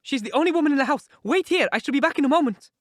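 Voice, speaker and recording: A frightened, feeble gentleman from a storybook, pitched very high. He is nervous and pleading, half blubbering as he speaks. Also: animated, very fast, dry recording, clean studio sound. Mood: alarmed. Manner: panicky, pleading.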